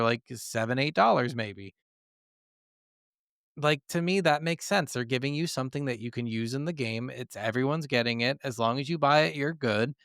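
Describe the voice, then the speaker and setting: A man's voice: mid-range voice, a bit bright, clean tone, no echo, clean studio sound. A man co-hosting a gaming podcast, talking casually and bluntly with plenty of swearing. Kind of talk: casual opinionated podcast chat.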